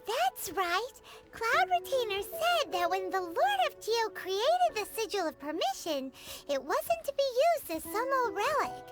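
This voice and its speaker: A woman voicing a small, excitable, chatty fairy companion in a video game, with a squeaky childlike voice. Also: full character voice. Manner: speaks of self in third person, exclamatory. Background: game music.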